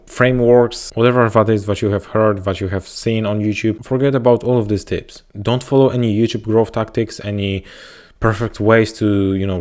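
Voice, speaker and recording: A man's voice: fairly low pitch, darkish tone. Polish-accented man giving earnest advice. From Poland. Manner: earnest, repetitive motivational delivery. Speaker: YouTube growth coach. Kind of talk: direct-to-camera advice talk. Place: indoors, talking to camera.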